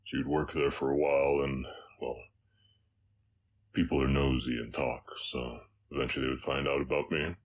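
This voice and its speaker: A man playing a gentle, haunted orc cowboy in an intimate audio roleplay, speaking hesitantly with a slight Southern American accent and a very deep voice. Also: flat delivery, slight background noise. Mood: somber, tender. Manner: halting, hesitant, reflective.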